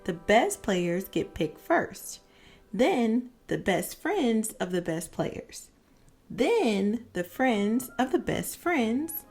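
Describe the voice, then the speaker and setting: A woman narrating a children's picture book as a storyteller, with expressive, deliberate phrasing for young listeners. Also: fairly high pitch, husky, soft background music. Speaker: read-aloud storyteller.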